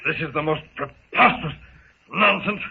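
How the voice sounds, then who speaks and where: An actor playing an indignant, hot-headed gentleman, exasperated and blustering, in a 1930s radio serial. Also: a little high, normal speed, gravelly, slight background noise. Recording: tinny narrow 1930s radio recording.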